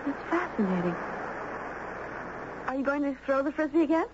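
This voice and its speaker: A woman playing a mysterious, artless teenage girl with a clear young voice and a simple, direct manner in a 1970s radio drama. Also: high voice, expressive, normal speed. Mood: curious. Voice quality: clear, youthful. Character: mysterious teenage island girl, artless, curious, self-possessed. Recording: dull, noisy old radio broadcast.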